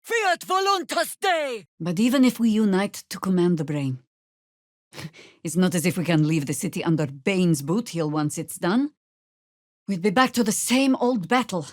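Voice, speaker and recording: A woman's voice: lowish voice, lively intonation, a little slow, dry recording. A woman voicing a seasoned, wry, battle-hardened druid veteran in a fantasy video game, speaking in a dry, world-weary, commanding way. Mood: battle cry.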